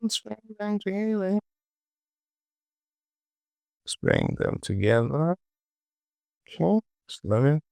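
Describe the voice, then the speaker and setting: A man hosting a casual software tutorial, thinking aloud and muttering repetitively to himself as he works. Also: high voice, sing-song, slow pace, creaky, pauses often, dry recording. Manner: muttering, repetitive self-talk. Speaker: 3D modeling tutorial host.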